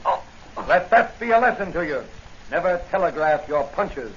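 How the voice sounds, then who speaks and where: Stern masked crime-fighter, confident, commanding, highish voice, old radio drama, dull noisy recording. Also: male voice, expressive, moderate pace, fairly bright tone. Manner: taunting, commanding. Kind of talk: 1940s radio serial acting.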